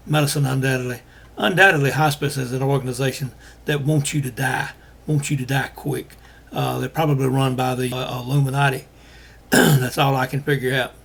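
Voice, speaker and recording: Hoarse older man, halting. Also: medium pitch. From Alabama. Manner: halting, many fillers and restarts. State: losing his voice. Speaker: host. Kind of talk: casual monologue to viewers.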